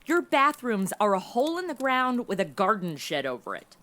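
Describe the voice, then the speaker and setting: A woman's voice: a little high, big pitch swings, moderate pace, fairly bright tone. A woman playing a flippant, sarcastic modern young woman stuck in the Old West, in a comedy audio drama. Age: young adult. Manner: sarcastic, slangy, breezy.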